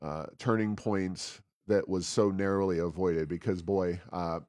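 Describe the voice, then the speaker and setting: A man hosting a podcast, giving conversational political commentary with frequent pauses mid-sentence. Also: deep voice, fairly even intonation, a little mellow, no room sound, clean studio sound. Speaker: podcast host.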